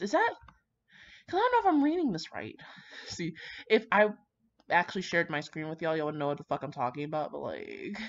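A woman rambling casually with dramatic asides, brash, slangy and full of swearing as she comments on fan fiction. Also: mid-range voice. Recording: dull lo-fi microphone.

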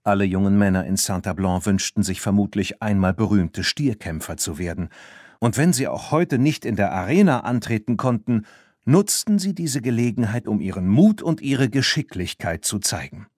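Guy reading a kids audiobook, really lively pitch, close dry sound. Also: moderate pace, no echo. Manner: animated, expressive storytelling. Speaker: audiobook narrator. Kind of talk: scripted narration of a children's story.

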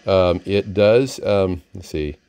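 A very low-voiced man with a creaky, gravelly edge talks casually through a piece of equipment. He rambles a little and hesitates, sounding unscripted and modest about what he knows. The sound is close and dry. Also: takes it slowly, warm tone, no echo. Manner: hesitant, rambling, chuckling self-deprecation. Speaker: vintage radio collector presenting a receiver. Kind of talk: casual unscripted product demonstration. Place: indoor room, at a desk with radio gear.

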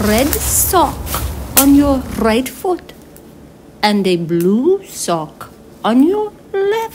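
An eccentric woman fortune-teller, acted out by a woman, delivers her oracular forecast in a high voice that swings widely in pitch. She goes extremely slowly, with halting, drawn-out, repeated phrases. She stays serene and solemnly whimsical throughout. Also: a little hiss or hum, full character voice. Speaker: astrologer giving a forecast. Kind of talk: slow theatrical spoken forecast.

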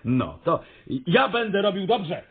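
A man playing a simple, bashful railway trackman in a radio comedy, speaking haltingly and repeating himself. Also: high voice, very animated, breathy edge, narrow, tinny audio, exaggerated voice. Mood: earnest, halting. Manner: halting, repetitive, simple-minded. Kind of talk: radio comedy drama acting.

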